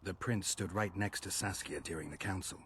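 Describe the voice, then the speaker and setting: An actor playing a gruff, blunt, world-weary monster hunter in a video game, speaking tersely and dryly. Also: male voice, low-pitched, fairly quick, fairly bright tone, a little hiss or hum. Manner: terse, dry, questioning. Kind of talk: video game dialogue acting.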